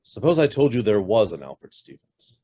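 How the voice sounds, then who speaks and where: Guarded middle-aged businessman in a radio play, acted by a man; lively, swinging intonation, clipped and haunted by guilt. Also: lowish voice, normal speed, breathy edge. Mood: uneasy, confessing. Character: guarded, brusque investment firm boss hiding a guilty past. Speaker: actor. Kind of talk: radio drama acting.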